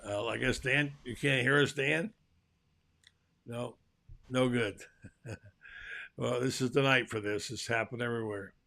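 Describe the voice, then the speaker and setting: An older man hosts a live talk show, chatting in a folksy, easygoing way. His voice is gravelly and bright, and he calls out loudly to guests who can't hear him. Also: medium pitch, fairly even intonation, a little slow, pauses often. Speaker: host. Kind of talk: casual live show chat.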